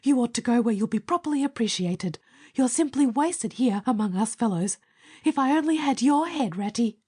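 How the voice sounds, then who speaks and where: A small, eager male mole from a storybook, gushing with breathless admiration in a high, quick voice, as a woman narrator puts him on. He tumbles over his words with excitement. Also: slightly rough. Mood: gushing admiring. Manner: breathless, gushing rush of praise. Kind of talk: audiobook character voice.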